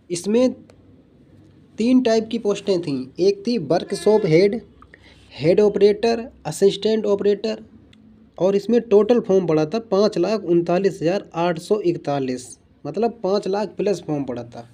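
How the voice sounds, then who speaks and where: A man's voice: high voice, unhurried, dark tone. A young man giving informal exam strategy advice as a coaching instructor, earnest and repetitive in the way he addresses his listeners.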